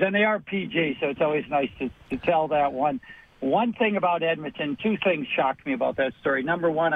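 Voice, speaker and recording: Gravelly man on a tinny phone line. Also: mid-range voice, dry recording. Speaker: guest, former hockey player. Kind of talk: casual interview chat.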